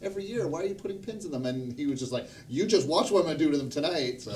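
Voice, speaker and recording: A man's voice: on the high side, expressive, rapid-fire, darkish tone, a little hiss or hum. A man chatting casually as a guest in a group conversation on a stream. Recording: distant mic, busy crowd chatter.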